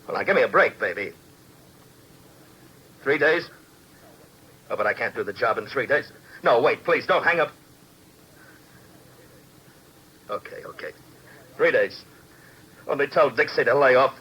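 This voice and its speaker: A man's voice: medium pitch, animated, normal speed, pauses often, pushed and bright, slightly rough, muffled recording, full character voice. An American actor playing a desperate, bitter, down-on-his-luck operator in a 1950s radio drama, agitated and pleading in slangy tough talk. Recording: noisy old 1950s radio recording.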